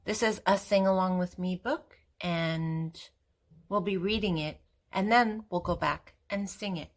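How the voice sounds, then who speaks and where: An older woman hosting a storytime for young children, reading a picture book aloud in a gentle way and talking directly to her little listeners. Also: fairly low pitch, unhurried.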